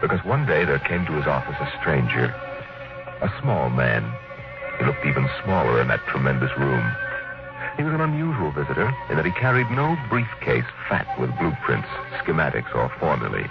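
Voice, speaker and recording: An actor playing a solemn, theatrical storyteller, narrating in measured old-time radio style. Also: male voice, extremely low pitch, sing-song, creaky, full character voice. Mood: dramatic. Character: dramatic, solemn male storyteller of science fiction. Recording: dull vintage radio recording. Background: loud dramatic music.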